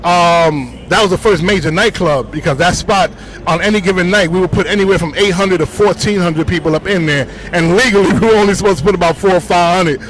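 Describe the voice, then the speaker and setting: A man with a Bronx New York accent, a DJ talking casually in slang on a city street, full of shout-outs and "you know what I'm saying". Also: high voice, fairly quick, rough recording. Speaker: DJ interviewed on the street.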